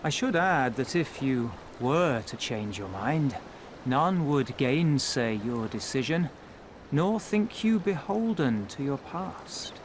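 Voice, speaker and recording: An actor playing a dutiful, wry first mate to a pirate captain in a video game, speaking in measured, formal phrases. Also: male voice, medium pitch, a little hiss or hum. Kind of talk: video game cutscene dialogue.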